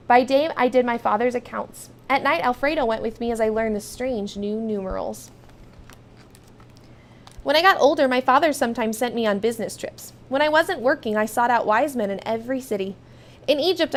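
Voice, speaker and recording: A woman reading a children's storybook aloud as first-person narration, expressive and emphatic. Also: fairly high pitch. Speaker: storybook reader.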